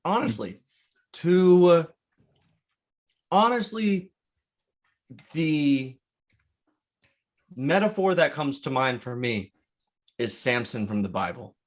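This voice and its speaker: An American man co-hosting a podcast, chatting about sports with deliberate, slightly grand phrasing. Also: fairly high pitch, sing-song. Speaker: podcast co-host. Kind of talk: casual sports podcast chat.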